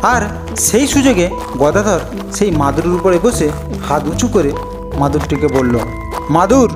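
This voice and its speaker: A male storyteller with a high-pitched voice reads scripted fairy-tale narration for a cartoon. Music plays clearly behind his voice. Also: animated, unhurried.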